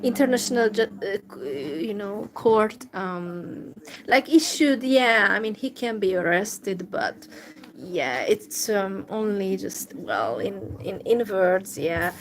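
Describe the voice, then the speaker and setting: Non-native English-accented woman streamer, unhurried and hesitant, steady noise under the voice. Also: mid-range voice. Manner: hesitant, many fillers and restarts, soft laughs. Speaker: livestream host. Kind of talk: casual live-stream chat, reading messages. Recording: noisy background.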